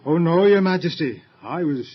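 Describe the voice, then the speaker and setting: An actor playing a fawning, flustered servant prince at the Egyptian court in a theatrical audio drama, obsequious, stammering and ceremonious. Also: male voice, fairly high pitch, lively intonation, normal speed, husky. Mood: fawning, nervous. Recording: dull lo-fi radio drama recording.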